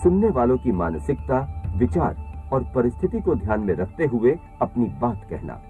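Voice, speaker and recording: A man's voice: medium pitch, big pitch swings, moderate pace, mellow. A man reading scripted instructional narration for a training film, posing questions and answering them. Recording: muffled soundtrack with faint music.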